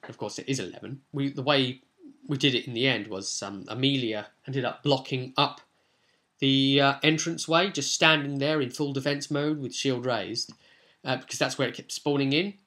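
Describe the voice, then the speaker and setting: A man with an average-pitched voice speaks as the host of a tabletop gaming vlog. His delivery is casual and unscripted, a loose commentary that rambles into animated storytelling asides. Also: normal speed.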